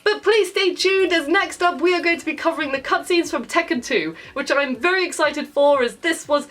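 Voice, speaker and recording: High-pitched British woman, playful game commentary. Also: normal speed. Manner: animated, expressive, mildly sweary, playful exasperation. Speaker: video game reviewer and channel host. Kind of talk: chatty comedic commentary over game cutscenes. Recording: music behind the voice. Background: background music.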